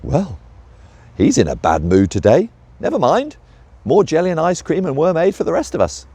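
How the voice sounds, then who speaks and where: A man's voice: on the high side, sing-song, moderate pace, warm tone, slightly rough, no room sound, pitched above the performer's own voice, full character voice. A man voicing a tiny, chirpy female squirrel with a light, raised voice. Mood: unbothered.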